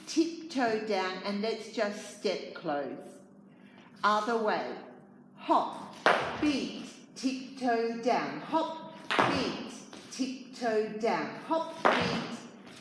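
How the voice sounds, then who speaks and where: A woman's voice: fairly high pitch, heavy background noise. A dance teacher from Australia leading a class of girls, counting steps aloud and calling out corrections to her pupils. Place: dance studio. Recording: echoey studio, teacher away from mic.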